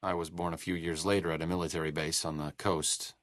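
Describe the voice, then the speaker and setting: An actor playing a bookish, idealistic doctor in a video game, speaking in an articulate, sardonic and understated way. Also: male voice, low-pitched, little pitch movement, fairly quick, fairly bright tone, no room sound, clean studio sound. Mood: earnest. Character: dry-witted, bookish male doctor and researcher, idealistic and self-deprecating. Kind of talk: video game dialogue acting.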